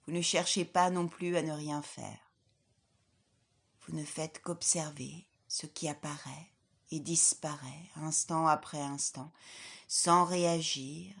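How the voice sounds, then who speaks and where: A woman leading a guided yoga nidra relaxation as an instructor, in a calm, soothing, measured way with soft, breathy phrase endings. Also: deep voice. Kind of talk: guided relaxation meditation, scripted.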